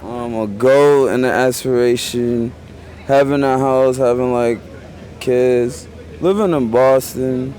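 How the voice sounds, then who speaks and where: A man's voice: mid-range voice, steady tone, takes it slowly, dark tone. A man of twenty-six answering in a rambling way, leaning on filler words. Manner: rambling, says "like" constantly. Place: outdoors in a city.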